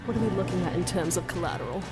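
A woman's voice: lowish voice, rapid-fire, lightly acted. A woman voicing an exasperated, short-tempered commander in a comic anime parody dub, stern and demanding. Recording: loud music behind the voice.

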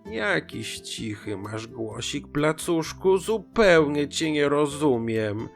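Storybook fox, played by a man: cunning, falsely meek, slow and soft, music underneath. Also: fairly high pitch, very animated, pitched above the performer's own voice, full character voice. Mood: sly, coaxing. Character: sly fox feigning meek deafness. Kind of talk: children's fairy tale storytelling.

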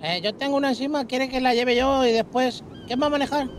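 A man's voice: very high-pitched, steady tone, fairly quick, slight background noise. A man with a Mexican accent playing a heist partner in a roleplay game, laid-back and polite with slang and curses. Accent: Mexican Spanish. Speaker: fellow roleplay player. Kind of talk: in-character gaming chat.

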